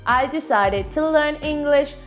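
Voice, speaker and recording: A woman in her twenties, a native Spanish speaker with an Argentine accent, gives an upbeat, clearly delivered lesson straight to the camera from a cozy room. Her voice sits a little high, and soft music plays quietly behind her. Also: a little slow. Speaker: Spanish tutor. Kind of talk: scripted online lesson to camera. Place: indoor living room with plants and fairy lights. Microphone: seated to camera, medium framing.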